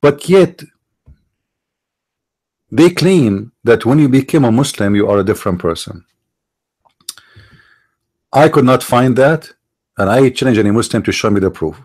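A middle-aged man with an Arabic accent hosting a commentary monologue, halting, restarting words and stressing his points. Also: medium pitch, lively intonation, darkish tone. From Middle East. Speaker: online show host.

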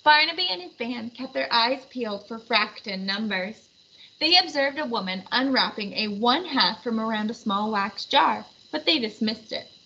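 A woman reading a children's storybook aloud in an animated narration style. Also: on the high side, normal speed. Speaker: storybook reader. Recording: slightly muffled, faint background noise.

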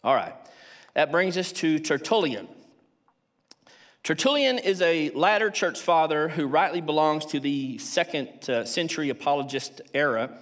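A man in his sixties with a Texan accent, giving a measured academic lecture and reading quotations aloud from a stage lectern. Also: fairly high pitch, expressive, a bit bright. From Texas, United States. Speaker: theology professor, conference speaker. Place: large auditorium stage at a lectern.

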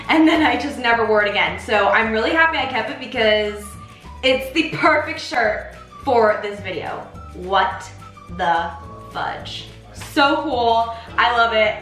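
A young woman with a North American accent hosts a casual recipe video in an enthusiastic, chatty way. Her voice is bright and forward. It is picked up a little far from the microphone in a roomy kitchen. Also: fairly high pitch. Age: young adult. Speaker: baker hosting a recipe video. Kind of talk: casual cooking tutorial to camera. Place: home kitchen, indoors. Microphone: camera mic a few feet away. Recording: roomy, distant camera sound with music.

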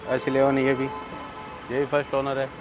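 A man's voice: medium pitch, completely flat delivery, normal speed, soft background music, poor audio. A man working as a used car dealer, giving short, matter-of-fact answers in casual sales talk. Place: open-air used car lot. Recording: outdoor lot with clear ambient noise.

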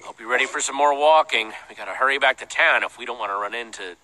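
An actor playing a young, earnest and protective adventurer in a video game, excitable in manner. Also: male voice, mid-range voice, quick pace, natural voice, poor audio. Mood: friendly. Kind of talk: animated video game voice acting. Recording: game music behind the voice.

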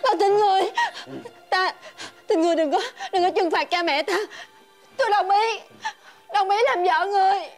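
A woman's voice: extremely high pitch, moderate pace, long pauses, soft background music. A woman playing a frightened, devoted young daughter in a fairy-tale television drama, tearful and pleading, with a Southern Vietnamese accent. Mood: desperate, tearful.

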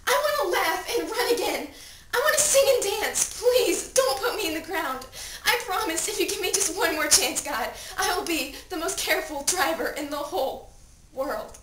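In an echoey, noisy room, a young woman acts out a dead seventeen-year-old girl telling her story in a high voice. Her dramatic monologue is theatrical and anguished, moving between hushed recollection and desperate pleading. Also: lively intonation, a little slow, pauses often. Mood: frantic, pleading. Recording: echoey room, distant mic, clear noise.

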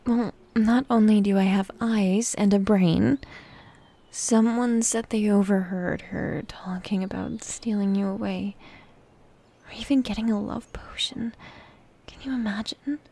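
A woman playing a jealous, needy elf wife in an intimate ASMR roleplay, speaking softly and haltingly with a sulky edge. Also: medium pitch, fairly even intonation, dry recording. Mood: jealous, annoyed. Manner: soft, breathy, halting, sulky delivery. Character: jealous, possessive, needy tsundere elf wife, female warrior.

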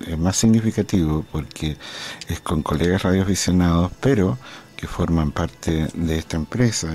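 Deep-voiced Chilean man on ham radio. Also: a little mellow. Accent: Chilean Spanish. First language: Spanish. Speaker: amateur radio operator. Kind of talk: ham radio contact with commentary. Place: indoors at a desk, small room.

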